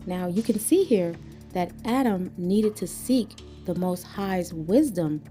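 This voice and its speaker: A woman with a fairly low, dark and mellow voice teaches from scripture. She sounds earnest and instructive, and her voice crackles a little as if starting to go hoarse. Faint music plays behind her. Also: lively intonation, takes it slowly. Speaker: online ministry teacher. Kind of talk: scripture reading and religious teaching.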